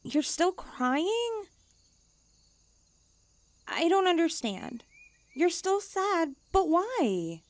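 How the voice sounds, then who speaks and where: A woman's voice: extremely high pitch, big pitch swings, drawn-out words, long pauses, no room sound, exaggerated voice. A woman playing a haughty, needy young stray catgirl, blunt and cutesy, in an ASMR comfort roleplay. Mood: puzzled, pouty.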